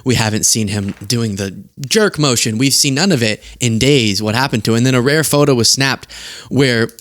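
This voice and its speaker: Young male host, casual and animated, tired but giggly, dry close studio sound. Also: no echo. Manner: animated, giggly, quick asides and sudden high outbursts. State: tired from lack of sleep. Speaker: political commentary host. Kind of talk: casual political commentary to camera. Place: home studio at a desk. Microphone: earpiece and studio mic.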